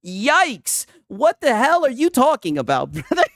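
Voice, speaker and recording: A man with a very animated, swooping delivery, recorded close and dry. He hosts casual reaction commentary in a mocking, incredulous way, often ending on a questioning tag. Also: very high voice, unhurried, vocal fry, dry recording. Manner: mocking, incredulous, often tags sentences with "right?". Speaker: commentary host.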